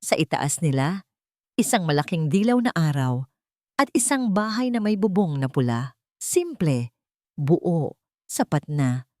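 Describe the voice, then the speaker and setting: A woman's voice: low voice, big pitch swings, unhurried, pauses often, a little mellow, no echo, studio quality. A woman with a Filipino accent giving a scripted, dramatic narration of a story.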